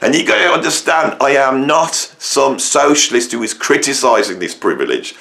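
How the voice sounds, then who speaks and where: A middle-aged man from England with a croaky voice, giving an opinionated monologue to camera, rambling and emphatic with many fillers and restarts. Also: medium pitch, expressive, moderate pace, fairly bright tone. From England, near Birmingham. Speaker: musician and educator, channel host.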